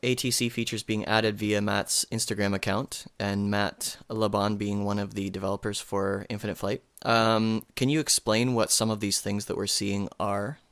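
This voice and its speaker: Low-voiced man hosting a podcast. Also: little pitch movement, moderate pace, few pauses, fairly bright tone, smooth. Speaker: podcast host.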